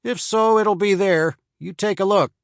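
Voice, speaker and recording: A curt storekeeper in a frontier shop, short and offhand with customers, as a man acts him out. His voice is pitched well above the narration and recorded dry and close. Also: moderate pace, vocal fry, no room sound. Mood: brusque. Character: gruff frontier storekeeper, brusque.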